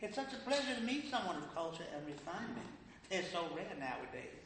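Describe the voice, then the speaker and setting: A man's voice: sits high, big pitch swings, fast, fairly bright tone. A man playing a fussy, proud old grandmother in a stage play, genteel and scolding. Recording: echoey hall with faint noise.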